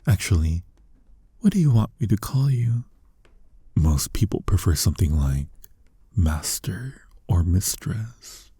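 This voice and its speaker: A flirty male lust demon voiced by a man murmurs in an intimate ASMR roleplay. His voice is low and very breathy, with a soft, dark warmth, and he takes his time as he teases and comforts. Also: expressive, no room sound, studio quality, full character voice. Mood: tender, soothing. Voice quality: soft, breathy, husky, often near a whisper. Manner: teasing, coaxing, slow and intimate.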